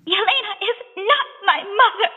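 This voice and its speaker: A timid little girl stammers through her words in a game cutscene. Her voice sits very high and bright. The audio is dull and carries a long hall-like reverb. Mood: pleading. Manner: stammering, halting.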